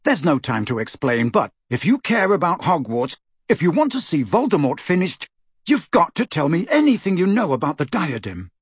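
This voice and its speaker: A man's voice: a little high, very animated, measured pace, breathy edge, no echo, studio quality, full character voice. A man voicing a determined, hot-headed teenage boy in an audiobook, with an insistent, fierce manner. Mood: fierce urgency.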